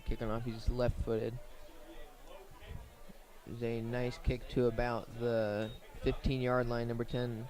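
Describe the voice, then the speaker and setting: A man with a medium-pitched voice commentates on high school football for radio. His intonation stays fairly even and narrow. He lays out each play step by step in a measured manner, leaving pauses as he goes.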